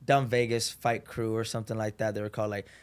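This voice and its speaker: A man's voice: lowish voice, quick pace, clean tone. A young adult man from Puerto Rico, a podcast guest talking casually and easily with frequent fillers. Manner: relaxed, friendly, frequent "you know". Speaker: podcast guest, marketing founder. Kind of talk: casual interview chat.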